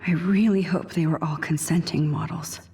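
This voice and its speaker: A young woman with an American accent voicing an introspective, wry teenage student in a video game, musing to herself in a hushed voice. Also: low voice, fairly even intonation, moderate pace, soft background music, lightly acted. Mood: pensive, sardonic. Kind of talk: game character thinking aloud.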